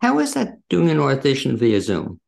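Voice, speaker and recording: A man's voice: mid-range voice, wildly swooping pitch, moderate pace, vocal fry. A man, hosting an interview in a casual, conversational style. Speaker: interview host.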